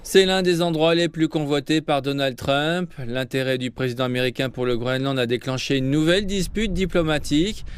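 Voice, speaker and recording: A man narrating a scripted news report in a steady, measured way. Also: fairly high pitch, clean tone.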